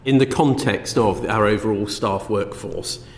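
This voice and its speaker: A fairly low-voiced man gives formal evidence to a committee, choosing his words cautiously and deferring politely. His voice echoes in a reverberant hall, with faint noise underneath. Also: a little slow. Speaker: government minister giving evidence. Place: parliamentary committee room.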